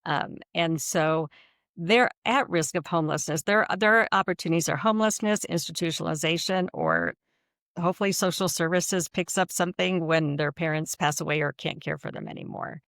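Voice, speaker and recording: American woman with a creaky voice. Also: deep voice, animated, normal speed, fairly bright tone, dry recording, clean studio sound. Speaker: nonprofit executive director, podcast guest. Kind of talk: conversational interview answers.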